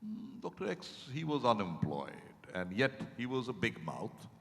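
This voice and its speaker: An actor in a dramatized stage reading, playing a reflective, regretful male patient who speaks in a theatrical, halting way and corrects himself. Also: mid-range voice, wildly swooping pitch, gravelly, fairly bright tone, exaggerated voice. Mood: candid reminiscing. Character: reflective, regretful male therapy patient reminiscing about life. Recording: echoing hall, stage reading.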